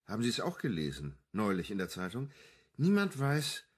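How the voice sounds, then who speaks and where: A man plays a former police assistant in a crime radio play. He is eager and probing but deferential, with a husky voice that moves up and down a lot. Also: fairly low pitch, huge pitch swings, normal speed, exaggerated voice. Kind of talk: German radio crime drama acting.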